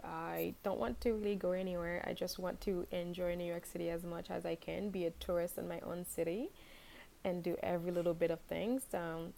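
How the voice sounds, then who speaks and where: Gravelly-voiced woman from Haiti. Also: mid-range voice. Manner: relaxed, hesitant, many fillers. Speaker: podcast guest, fashion blogger. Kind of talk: casual interview chat.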